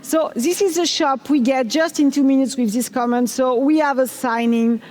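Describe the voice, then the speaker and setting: A middle-aged woman with a French accent presents a technical talk at a conference, upbeat and quizzing the crowd now and then. Her voice sits high. The sound carries the long reverb of a big hall. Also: normal speed, a little mellow. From France. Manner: enthusiastic, asks the audience questions. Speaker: conference speaker. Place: large conference hall on stage.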